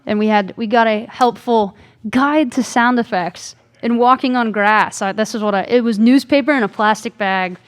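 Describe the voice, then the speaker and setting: A young adult woman speaks in a casual, conversational way as a student guest. Her voice runs a little higher than average. The delivery is informal and unscripted. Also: moderate pace. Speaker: student radio theater participant. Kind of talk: casual chat.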